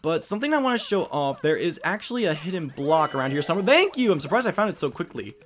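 A man's voice: fairly high pitch, animated, fast talker. A man giving casual, chatty let's play commentary with slangy enthusiasm. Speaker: gaming video host.

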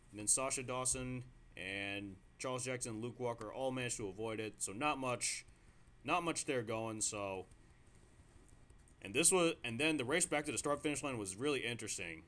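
Excitable amateur race commentator, man. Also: medium pitch. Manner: excitable, restarts sentences with fillers. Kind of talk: amateur sim racing play-by-play commentary.

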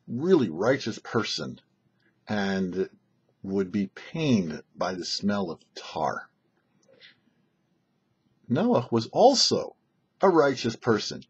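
An older man, a rabbi hosting a short religious teaching, speaking in deliberate phrases with emphatic stresses. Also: mid-range voice, slightly rough, lo-fi sound. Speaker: rabbi, show host. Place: small indoor set, seated before backdrop.

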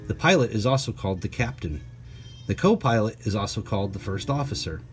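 A man's voice: mid-range voice, darkish tone. Man reading a picture book to children. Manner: prompts listeners with questions and asides. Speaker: teacher reading aloud to children. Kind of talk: children's picture book read-aloud with conversational asides.

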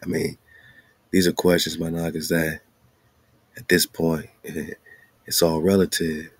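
Deep, gravelly-voiced man in informal commentary. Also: little pitch movement, measured pace, no echo. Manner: rhetorical questioning, repeats phrases for emphasis; colloquial, slangy phrasing. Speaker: host. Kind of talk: informal commentary monologue over on-screen maps and documents.

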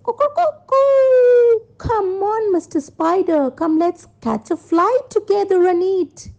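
A woman's voice: extremely high pitch, takes it slowly, vocal fry, darkish tone, voice pushed up, exaggerated voice. A woman voicing an eager, crowing farm rooster who coaxes in a children's story.

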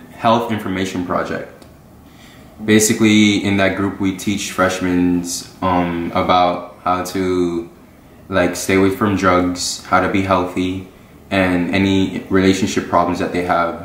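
A teenage boy from Miami introducing himself for an audition, in a measured, steady way. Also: low-pitched, echoey room, a little hiss or hum. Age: teens. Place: living room with bookshelves.

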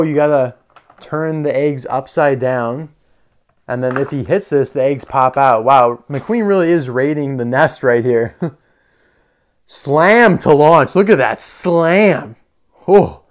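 A man giving casual, unscripted hands-on toy commentary, chatting and thinking aloud as he goes. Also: medium pitch. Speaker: toy reviewer. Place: indoor room full of toy displays.

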